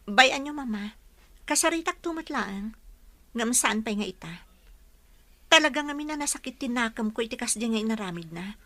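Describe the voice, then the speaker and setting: A young Filipino woman in a radio drama, hurt but principled. Her voice is very high and bright, recorded close and dry. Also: expressive, moderate pace, long pauses, no room sound. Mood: hurt, subdued. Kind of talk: Ilocano radio drama acting.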